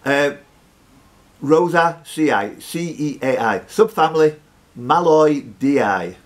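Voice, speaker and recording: A man in his fifties acts as quiz master, with a voice pitched a little high. He reads questions out to the players and teases them with jokey banter between items. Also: animated, slow pace. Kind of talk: live pub quiz hosting, reading questions. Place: social club bar room.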